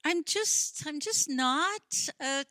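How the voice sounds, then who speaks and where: A reluctant, pensive librarian wife in her fifties played by a woman in a radio play. Her voice sits fairly high and moves expressively as she hesitates and objects. The recording is dry and close, with little echo. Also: animated, slow pace, bright, forceful tone, heavily acted. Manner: hesitant, wistful, protesting.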